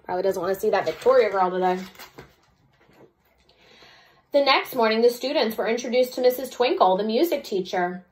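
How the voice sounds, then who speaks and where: A woman's voice: on the high side, quick pace. A woman, a second-grade teacher, reading a storybook aloud expressively and adding chatty explanatory asides for children.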